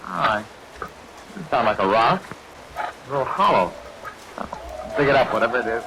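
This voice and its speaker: A dismissive, no-nonsense husband acted by a man in a vintage radio drama. He speaks briskly with lively pitch movement. The old recording is noisy, with rain behind. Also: close to an everyday voice. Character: Carl, brisk skeptical estate owner, dismissive husband. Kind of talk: 1940s radio drama acting.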